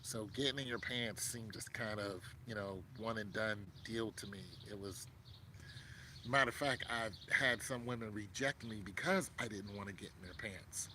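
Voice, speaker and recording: A man's voice: on the low side, moderate pace, sharp tone, slight background noise. A man in his fifties talking casually to a viewer, rambling with many fillers and restarts. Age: 50s. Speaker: vlogger.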